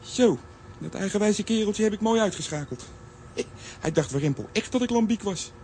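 Casting: gloating saboteur for kids' audio drama, scheming mutter, lively intonation. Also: male voice, high voice, normal speed, slight background noise. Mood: gloating, hurried. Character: sneaky male saboteur impersonating a hero, gloating villain. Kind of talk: children's radio play acting.

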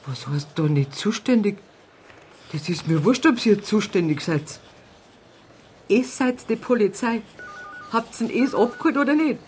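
An actress playing a blunt, stubborn, grieving middle-aged aunt with a Bavarian accent in a naturalistic radio drama. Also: female voice, medium pitch, expressive, a little slow, pauses often, a little mellow, slight background noise, natural voice. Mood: indignant, defiant. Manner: blunt, insistent, wounded. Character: stubborn, indignant, grieving aunt, plain small-town Bavarian woman.

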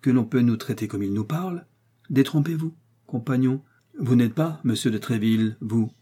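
A man's voice: mid-range voice, normal speed, dark tone, breathy edge, lightly acted. A man reading aloud and voicing a proud, cold male musketeer who speaks in a haughty, menacing manner.

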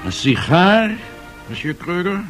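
A man's voice: sits high, big pitch swings, slow, a bit bright, faint music behind, exaggerated voice. An actor playing a courteous, troubled French statesman with a formal, apologetic manner, in radio drama style. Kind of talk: 1970s Dutch radio drama acting. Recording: noisy 1970s radio drama recording.

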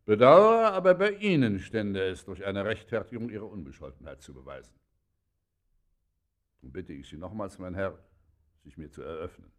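An actor playing a pompous, officious district magistrate in a German radio play, speaking with formal courtesy and measured bureaucratic authority. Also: male voice, low voice, big pitch swings. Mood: formally courteous, warning.

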